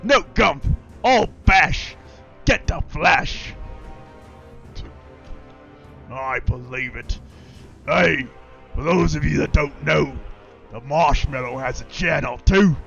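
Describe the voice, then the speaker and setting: A brutish orc warlord, put on by a male streamer, bellows and boasts with a gravelly, rough-edged throat and a slightly raised pitch. He talks slowly and crudely, like a thug who rules by smashing. The stream audio sounds dull and lo-fi. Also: expressive, fairly bright tone, faint music behind, full character voice. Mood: rowdy. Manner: rowdy, bellowing, crude slang. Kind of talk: role-playing a game live stream.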